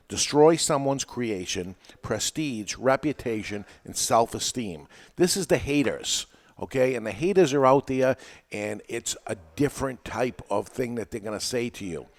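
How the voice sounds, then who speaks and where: A middle-aged man with a New England accent, hosting a podcast in a casual, chatty way, repeating words as he talks. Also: medium pitch. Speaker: podcast host.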